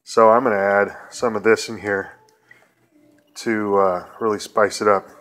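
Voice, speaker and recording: A man's voice: fairly low pitch, fairly even intonation, measured pace. A middle-aged man hosting a casual home cooking video, talking through each step in a rambling, folksy way with lots of fillers. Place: home kitchen counter, indoors. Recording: home kitchen with loud cookware clatter. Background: clattering pots, pans and cans.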